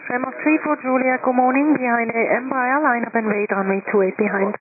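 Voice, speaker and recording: A woman working as an air traffic controller, giving brisk clearances over aviation radio. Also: a little high, fairly even intonation, crowd noise. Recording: tinny, narrow-band air traffic radio with static.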